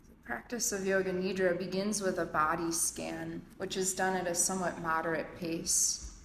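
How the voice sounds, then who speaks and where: A woman leading a guided yoga nidra meditation as an instructor, speaking calmly and in measured phrases with long pauses. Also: lowish voice. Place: indoor urban yoga studio, recorded live. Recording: roomy echo, faint background noise, live studio. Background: faint ambient room and city noise.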